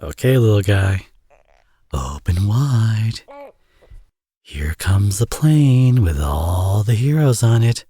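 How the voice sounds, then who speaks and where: A man playing a teasing villain who is a tender father, in an intimate ASMR audio roleplay, with a husky, breathy, soft-spoken delivery. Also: fairly low pitch, very animated, takes it slowly, no room sound, studio quality, full character voice. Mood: playful, teasing.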